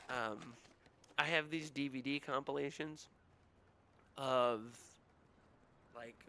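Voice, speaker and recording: Rambling, gravelly young man streamer. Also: mid-range voice, bright, forceful tone, slight background noise. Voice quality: nasally, whiny. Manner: rambling, crude jokes, lots of "like" and "dude". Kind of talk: casual rambling chat while gaming.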